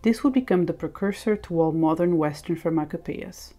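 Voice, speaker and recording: A woman's voice: lowish voice, a little fast, dark tone. A woman presenting scripted educational narration. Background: music.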